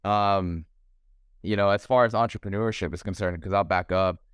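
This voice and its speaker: A young man from Thailand, a realtor, talking casually on a podcast over a video call from home, relaxed and talkative, calling the host bro and man. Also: low voice, normal speed, clean tone, dry recording. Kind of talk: casual interview chat.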